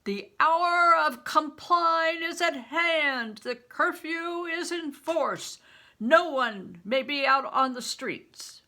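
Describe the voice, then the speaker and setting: Older woman as storybook male soldier, gruff authority, loud raised pitch, commanding, drawn-out calls. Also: animated, exaggerated voice. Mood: stern proclamation. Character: gruff, bossy male town soldiers calling out curfew orders.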